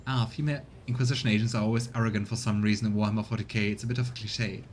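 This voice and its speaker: A man's voice: steady tone, racing. A man giving casual commentary as he plays a game on stream. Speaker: let's play streamer voicing the game's characters. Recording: rumbly background noise.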